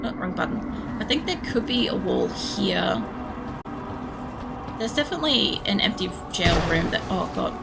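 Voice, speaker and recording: A young woman with a hoarse, worn voice, chatting casually and rambling while she streams a game at home, swearing now and then. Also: mid-range voice, lively intonation, normal speed, pauses often. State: hoarse, losing her voice. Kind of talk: casual chat while playing. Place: home room. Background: game music.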